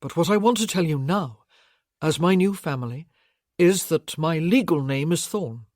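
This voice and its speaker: An audiobook narrator voicing a composed young aristocratic husband who speaks in formal, measured sentences. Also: mid-range voice, expressive, a little mellow, dry recording, clean studio sound, natural voice. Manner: formal, measured, slightly raised.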